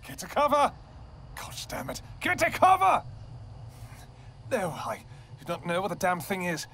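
A man's voice: high-pitched, huge pitch swings, measured pace, pushed and bright. A man playing a devoted royal vampire king in an audio roleplay, tender with his wife and commanding with his soldiers. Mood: urgent.

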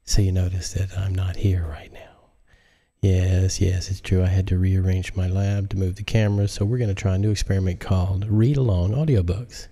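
Low-voiced man reading an audiobook. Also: steady tone, normal speed, dark tone. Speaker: author reading own audiobook on live stream. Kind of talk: audiobook narration with casual asides.

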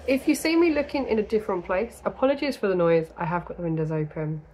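A woman in her thirties from the UK, hosting a crafting podcast in a small indoor room. She chats to the camera in a rambling way, full of ums and little laughs, and her voice is going, slightly gravelly. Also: medium pitch, quick pace, darkish tone, a little hiss or hum, low-quality recording. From United Kingdom. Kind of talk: casual chat to camera.

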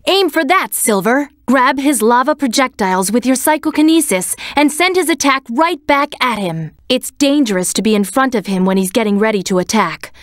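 A woman voicing a serious, composed young female cat in a video game, speaking in a measured, commanding way. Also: on the high side, animated, no echo, studio quality, lightly acted. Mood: urgent, alert. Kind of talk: scripted game voice acting, tactical hints.

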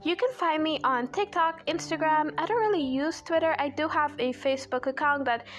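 A woman's voice: high voice, moderate pace. A young woman from Guyana with a Guyanese accent, chatting casually and self-deprecatingly about art supplies while she draws at a desk. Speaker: art channel host. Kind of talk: casual chat while drawing. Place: indoors at a desk. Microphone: overhead camera on hands.

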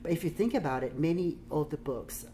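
A woman with a Samoan accent and a low voice speaks as a guest artist at a gallery talk. She talks loosely and chattily, with many fillers. A light hiss sits under her voice. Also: animated, fairly quick, dark tone. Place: gallery room, indoors.

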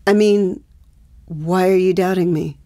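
A woman's voice: on the low side, fairly even intonation, unhurried, dark tone, close to an everyday voice. A woman playing a shaken, angry pregnant wife with an American accent in a naturalistic audio drama, insistent and swearing. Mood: defensive, doubting. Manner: agitated, profane, insistent.

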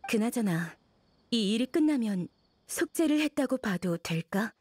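A woman playing a guarded, pragmatic female scholar-assistant in game dialogue, deliberate and matter-of-fact. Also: a little high, expressive, a little mellow, breathy edge, no echo. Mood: reflective.

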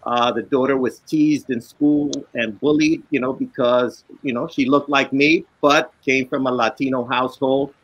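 A man's voice: on the high side, a bit bright, no echo, low-quality recording. A man, an author and illustrator, telling a reflective story on a video call in a casual way. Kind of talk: panel discussion answer. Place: home room with bookshelves.

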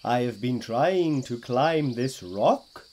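A man voicing a weary, polite little turtle in a children's storybook, plodding and deliberate. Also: mid-range voice, lively intonation, darkish tone, slight background noise. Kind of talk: children's storybook read-aloud.

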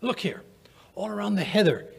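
An older man playing an earnest, kindly, easily flustered English doctor with a rough, breathy voice in a radio play. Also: high-pitched, animated, moderate pace, heavily acted. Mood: observant. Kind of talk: old-time radio drama acting. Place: small indoor stage, live performance. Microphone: vintage-style stand microphone.

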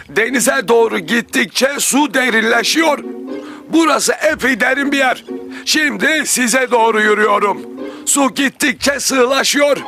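Casting: affectionate, instructive cartoon grandfather, elderly, high-pitched, with loud music underneath. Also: creaky, full character voice. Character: loving grandfather teaching his grandchildren to swim. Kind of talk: children's cartoon dubbing.

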